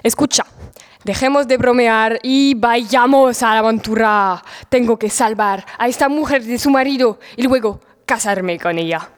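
A woman's voice: a little high, moderate pace, close to an everyday voice. A teenage girl playing a dreamy, indignant knight, Don Quixote, in a student audio drama. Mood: determined.

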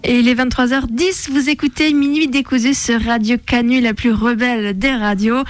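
A woman's voice: on the high side, steady tone, moderate pace, music in the background. A woman hosting a radio show, mixing scripted commentary and casual talk in a sardonic, slangy way. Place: radio studio.

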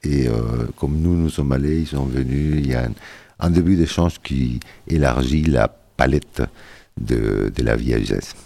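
A man's voice: a bass voice, moderate pace, vocal fry, mellow, a little hiss or hum. A man talking as a guest in a casual radio interview, hesitant and searching for words. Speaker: radio guest, project member. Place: radio studio.

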